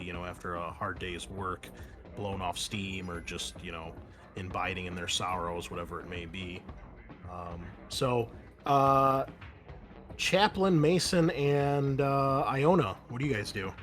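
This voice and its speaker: A man with a fairly low voice acts as game master, describing scenes casually and haltingly as he thinks aloud. Music plays softly behind him. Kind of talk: improvised tabletop game narration. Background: background music.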